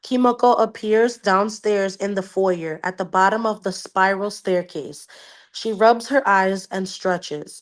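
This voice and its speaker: A woman's voice: mid-range voice, a little slow. A woman co-hosting a book club podcast, casually reading aloud with expression and swearing, sometimes interjecting in Spanish. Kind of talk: casual read-aloud with reactions.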